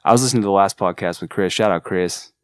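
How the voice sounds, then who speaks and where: A man's voice: sits low, fast, no room sound. A man from California with a laid-back surfer accent, chatting earnestly on a podcast as an artist manager. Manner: earnest, enthusiastic, with frequent restarts. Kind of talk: casual podcast chat.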